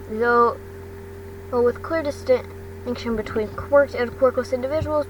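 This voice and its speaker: A woman reading a story aloud as an amateur narrator, stumbling and repeating phrases as she goes. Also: a little high. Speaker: fan fiction narrator. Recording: distant mic, noisy background hum.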